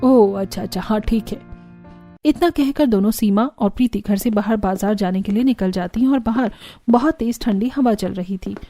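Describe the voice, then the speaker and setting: A woman's voice: medium pitch, moderate pace, mellow, no room sound, clean studio sound, faint music behind. A woman narrating a scripted cartoon story as its storyteller.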